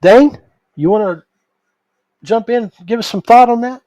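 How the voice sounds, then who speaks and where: A man's voice: very high-pitched, measured pace, warm tone, no room sound. An older man hosting a podcast in a casual, folksy way, talking straight to his listeners. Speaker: podcast host.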